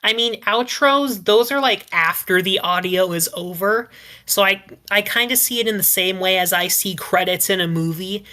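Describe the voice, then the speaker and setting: A woman speaking as a podcast panelist, giving opinions casually in a measured, qualifying way with frequent "like". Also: mid-range voice, bright, forceful tone.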